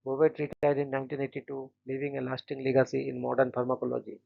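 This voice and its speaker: A man hosting a daily channel, reading short scripted biographies in a flat, steady way and stumbling now and then on names. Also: medium pitch, fast talker, gravelly, highs cut off. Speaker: host of a daily events channel.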